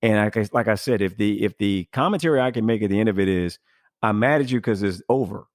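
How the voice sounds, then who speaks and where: A man's voice: lowish voice, fast talker, dry recording, studio quality. A man hosting a casual conversational podcast interview in an effusive, emphatic, rambling way. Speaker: podcast host.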